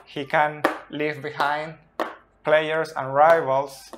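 A man's voice: a little high, little pitch movement, slow, fairly bright tone, faint music behind. A man in his thirties whose first language is Spanish, explaining football tactics off the cuff at a whiteboard, recorded on a phone in a small indoor room. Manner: earnest, pointing at a tactics whiteboard while talking. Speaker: football analyst. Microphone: phone camera up close.

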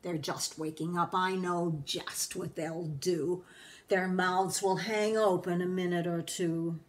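Woman playing a slow, sly storybook Grinch creature. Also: lowish voice, steady tone, voice pushed down. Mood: gloating. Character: sly, sneering male Grinch, a grumpy creature.

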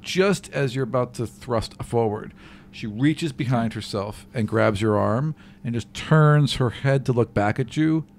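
A man's voice: medium pitch, a little slow, vocal fry, a little hiss or hum. A man running a tabletop game, narrating the action in a casual, conversational, improvised way. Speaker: game master. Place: small room, players around a table. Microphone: microphone on the table in front of him.

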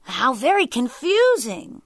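A woman voicing a sly jackal who feigns dim-witted confusion in a folktale, with drawn-out, wide-eyed exclamations. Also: high voice, very animated, a bit bright, dry recording, clean studio sound, voice pushed up. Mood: puzzled. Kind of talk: animal folktale storytelling.

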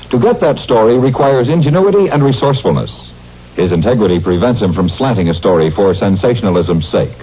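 A man's voice: mid-range voice, breathy edge. An American man announcing on old network radio, reading scripted copy in a polished, promotional manner. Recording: dull old radio broadcast recording.

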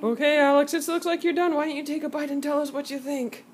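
A woman's voice: high voice, fairly even intonation, fast, a little hiss or hum. A woman hosting a home cooking video from off camera, with exaggerated, teasing mock-TV-host enthusiasm, recorded on a phone in a home kitchen. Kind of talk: playful mock TV-host banter. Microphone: phone camera from off screen.

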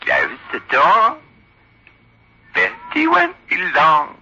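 Slow, sly, living ventriloquist's dummy. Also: male voice, a little high, huge pitch swings, sharp tone, full character voice. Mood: sly, taunting. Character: sinister mocking ventriloquist's dummy come to life. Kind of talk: radio horror drama acting. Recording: noisy, muffled old radio recording.

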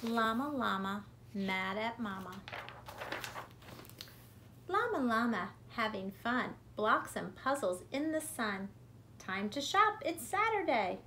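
A woman reads a children's picture book aloud in an expressive, rhythmic, deliberate way for young listeners. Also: on the high side, background noise. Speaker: preschool teacher reading aloud. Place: bedroom at home.

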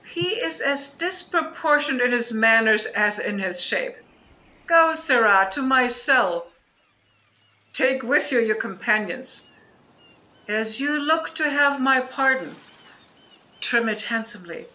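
A woman playing a commanding, grave, aging sorceress in a Shakespearean radio play, speaking measured, declamatory verse. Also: on the high side, animated, bright, forceful tone, full character voice. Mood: stern. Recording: roomy online recording.

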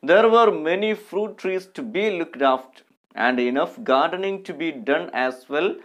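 A man in his thirties with an Indian accent speaks as an English teacher in an online class. His voice sits a little high, and he goes very slowly, articulating each phrase carefully in an instructive way. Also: expressive. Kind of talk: online lesson with text read aloud.

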